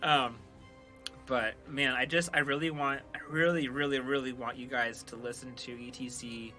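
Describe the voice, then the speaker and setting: A male podcast host with a strikingly bright, forward voice. He talks casually and earnestly, full of enthusiasm for what he is presenting. Music plays softly behind him. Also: medium pitch, fairly even intonation, moderate pace. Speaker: podcast host and audio drama creator. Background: background music.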